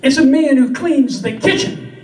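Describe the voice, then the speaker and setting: A man acts out a sassy country woman from a folksy comic poem, high in pitch and emphatic. The voice comes from some way off in a very echoey room. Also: normal speed, darkish tone. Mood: exasperated, scolding. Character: sassy, exasperated cowboy-poem woman scolding and explaining. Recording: roomy, distant stage recording with noise.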